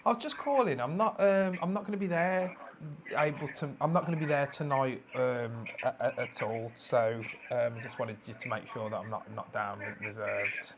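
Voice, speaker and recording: A man making prank phone calls in a hesitant, rambling, deadpan manner. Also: medium pitch, moderate pace. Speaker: prank caller. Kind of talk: casual phone prank chat. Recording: noisy phone prank call recording.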